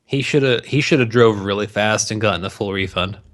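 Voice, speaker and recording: A man's voice: fairly low pitch, brisk. A man co-hosting a podcast in casual banter, joking and ranting with plenty of swearing. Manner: profane, jokey ranting. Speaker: podcast co-host.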